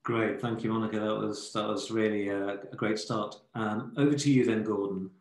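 Guy hosting a webinar, gravelly voice, echoey room on the call. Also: on the low side, steady tone, moderate pace. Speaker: webinar moderator. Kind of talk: conversational moderating.

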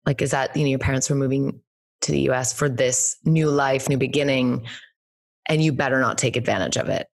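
A woman's voice: extremely low pitch, fairly even intonation, a little fast. A woman in her thirties hosting a podcast, asking questions casually with lots of fillers, speaking into a desk mic at home. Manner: casual, affirming, many fillers. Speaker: podcast host. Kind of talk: interview questions. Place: bright home room, indoors. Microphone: desk condenser mic with headphones.

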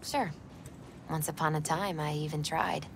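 Low-voiced, soft, grieving young woman. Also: fairly even intonation, measured pace, creaky, noisy background. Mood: wry, resigned. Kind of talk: naturalistic video game dialogue acting.

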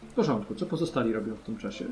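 Game master over music. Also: male voice, on the low side, animated, racing, a little mellow. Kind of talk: narrating a tabletop role-playing game. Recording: music under the voice. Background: background music.

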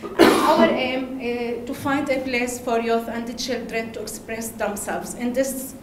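A woman from Lebanon with an Arabic accent, giving a conference talk in halting English with hesitations and laughs. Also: a little high, steady tone, background noise. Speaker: conference speaker. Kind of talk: presentation talk. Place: large darkened conference hall. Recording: echoey hall, faint ambience.

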